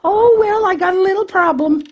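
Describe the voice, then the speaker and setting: A man's voice: very high voice, normal speed, voice pushed up. A man voicing a naive, eager, bumbling young lamb puppet in a children's show, with a hesitant, folksy, childlike way of explaining. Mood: sheepish explaining.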